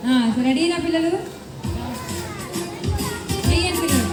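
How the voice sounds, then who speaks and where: A woman's voice: extremely high pitch, a little slow, music in the background, crowd noise. A woman hosting a children's puppet show, prompting and instructing like a Sunday school teacher. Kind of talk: lively question-and-answer with children and puppet. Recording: echoey hall, distant mic, clear noise.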